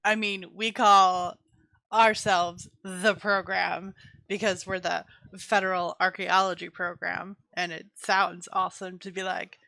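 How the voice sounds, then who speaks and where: A woman with a bright, forceful voice of middle pitch in a very dry recording. She is a player in a tabletop game, chatting casually and spinning theories. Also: little pitch movement, measured pace, gravelly, dry recording, poor audio.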